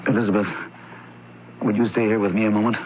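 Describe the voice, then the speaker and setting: A man's voice: lowish voice, flat delivery, fast, natural voice. A man playing a defensive, troubled son of a wealthy family in an old-time radio drama. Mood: guarded, polite. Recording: dull, noisy vintage radio recording.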